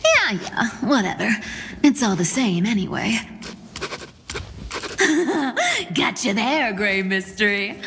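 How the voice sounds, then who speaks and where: A woman's voice: a little high, sing-song, takes it slowly, pauses often, big-room sound, background noise, exaggerated voice. A woman playing a sly, teasing trickster in a video game, with a theatrical, playful delivery.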